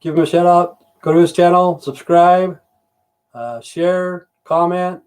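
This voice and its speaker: Rambling profane man with a mellow voice. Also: high-pitched, steady tone, measured pace, clean tone. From Idaho, USA. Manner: profane, rambling, frequent "you know". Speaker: livestream host. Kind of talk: rambling casual livestream chat.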